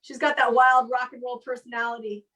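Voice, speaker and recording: A woman's voice: a little high, flat delivery, fairly quick, a bit bright. A woman hosting a casual online comedy chat from home, rambling along and acting out what people said. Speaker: host of an online comedy show. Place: home, video call.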